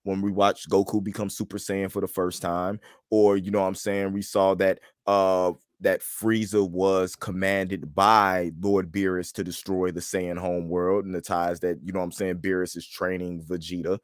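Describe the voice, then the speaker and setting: A man's voice: low-pitched, flat delivery, moderate pace, no room sound, studio quality. A man co-hosting a casual fan discussion, speaking colloquially and emphatically and repeating himself to make his point. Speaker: co-host of a pop culture show.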